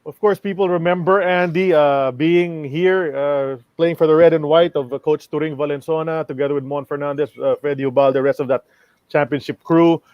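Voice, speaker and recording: A male podcast host opens the episode and introduces his guest in a relaxed, casual way, with frequent fillers. His voice sits slightly high. The recording is dry, with a muffled, dull tone. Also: brisk, clean tone, no echo. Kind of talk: podcast interview hosting.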